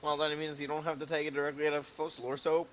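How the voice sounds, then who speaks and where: A man's voice: on the high side, flat delivery, very fast, a bit bright, a little hiss or hum. A man giving casual gameplay commentary, rambling and thinking aloud as he goes. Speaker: game streamer.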